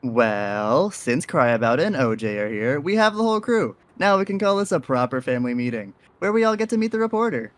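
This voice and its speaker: A man acts out a friendly, easygoing crew leader in an audio drama. His voice swings lively around a middle pitch, casual and soothing, with a hesitant edge. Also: fairly quick, few pauses, dry recording, natural voice. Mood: cheerful, welcoming. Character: Toast, friendly easygoing faceless graffiti artist, male group leader.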